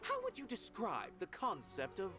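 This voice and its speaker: Enigmatic, superior aesthete for a game; detached musing with lively, swooping intonation. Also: female voice, medium pitch, normal speed, over music. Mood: aloof curiosity. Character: aloof, cerebral observer of art, condescending and enigmatic. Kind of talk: video game voice acting.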